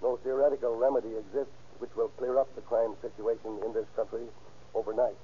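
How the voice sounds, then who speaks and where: A man giving a stiff, measured, earnest scripted address in the style of 1930s radio. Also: medium pitch, a little hiss or hum. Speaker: guest police officer giving introduction. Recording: muffled old radio recording.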